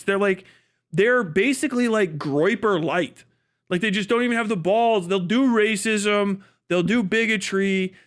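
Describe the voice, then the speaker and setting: An American man with a notably high voice delivers an off-the-cuff livestream rant. He is blunt and sarcastic, swears freely, and hammers his points with emphatic phrasing and mock dialogue. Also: smooth. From United States. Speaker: livestream commentator.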